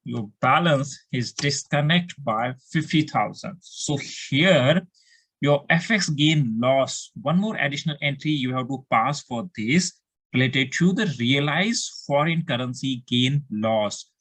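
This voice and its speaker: A man's voice: mid-range voice, animated, unhurried, a bit bright, rough recording. A man with an Indian accent giving a step-by-step tutorial as an accounting instructor, asking questions and then answering them, often repeating himself.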